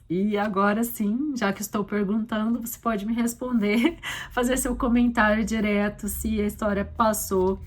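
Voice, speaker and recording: A Brazilian woman talking casually and reflectively as an author and podcast host, explaining things conversationally with frequent little check-in tags. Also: medium pitch. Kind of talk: casual reflective commentary on her own short story.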